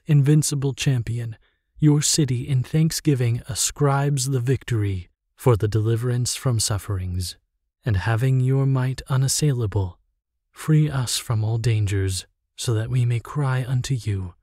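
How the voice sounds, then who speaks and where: Slow, solemn man intoning a hymn. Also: mid-range voice, warm tone, no echo, studio quality. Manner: reverent, formal, measured. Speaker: liturgical reader or chanter. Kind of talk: solemn liturgical chant-like recitation of a hymn.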